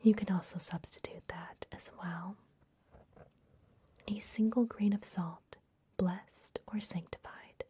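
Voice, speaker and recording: A woman playing a secretive, soothing vampire librarian in a fantasy ASMR roleplay, speaking in a soft, breathy whisper close to the microphone. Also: medium pitch, steady tone. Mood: soothing, instructive. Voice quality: breathy, mostly unvoiced whisper. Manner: soft, gentle, unhurried, confiding.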